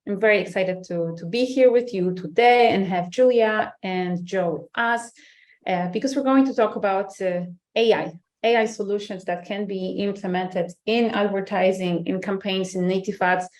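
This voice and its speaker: Woman, mid pitch, upbeat webinar host, casual and rambling. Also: steady tone, normal speed, darkish tone. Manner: upbeat, chatty, many fillers and restarts. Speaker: webinar host, head of marketing. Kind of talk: hosting an online webinar. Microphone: video call.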